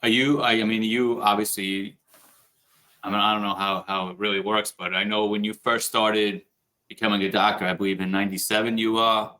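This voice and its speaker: Low-voiced, bright-toned male podcast host. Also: flat delivery. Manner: short backchannel replies and halting questions. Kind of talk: casual interview chat.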